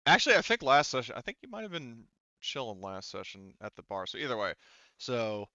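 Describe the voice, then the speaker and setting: A man narrates a tabletop game as its game master, casually and off the cuff. His voice is clear and forward, with a bright edge. He rambles and halts as he builds the scene, on slightly dull, lo-fi audio. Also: medium pitch, sing-song, dry recording. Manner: halting, rambling, conversational.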